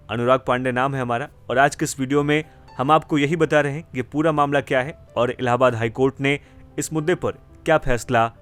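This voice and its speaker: A man presenting a scripted news explainer in the manner of an anchor. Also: mid-range voice. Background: background music.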